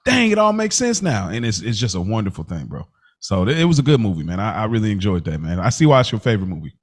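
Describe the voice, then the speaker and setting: Low-pitched man in loose podcast chat. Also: sing-song, quick pace. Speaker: podcast co-host. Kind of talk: casual chat, personal reflection.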